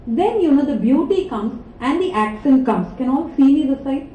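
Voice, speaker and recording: A woman's voice: high-pitched, normal speed, slight background noise. Indian english lady teaching a class, lots of questions to the room, echoey hall, mic kinda far off. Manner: animated, rhetorical questions to students. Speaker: communication skills trainer. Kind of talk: classroom lecture. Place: lecture hall.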